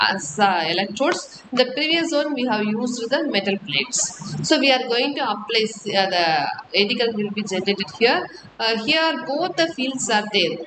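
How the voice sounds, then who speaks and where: A woman with an Indian accent delivers a technical lecture in a fairly high voice. She explains step by step in a halting, repetitive way. The room echoes around her and a clear hiss runs under the recording.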